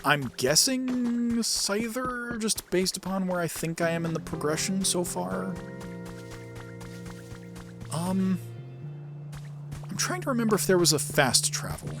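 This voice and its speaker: Animated, higher-voiced man playing a game. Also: unhurried, long pauses, music in the background. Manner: rambling, thinking aloud. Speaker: gaming video host. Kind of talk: casual let's-play commentary.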